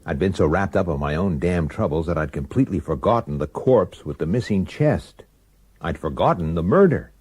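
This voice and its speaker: A low, husky, gruff detective. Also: male voice, lively intonation, normal speed, darkish tone. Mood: brooding narration. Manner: blunt, colloquial, impatient. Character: gruff, irritable, world-weary male police detective. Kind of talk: old-time radio drama acting and narration.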